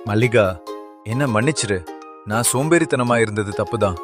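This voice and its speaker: Casting: man as idle boastful cartoon village husband, medium pitch, prominent music and crowd murmur behind. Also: moderate pace, close to an everyday voice. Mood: remorseful. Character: lazy, boastful village husband at a tea stall. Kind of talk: animated moral story dialogue. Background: music and crowd sounds.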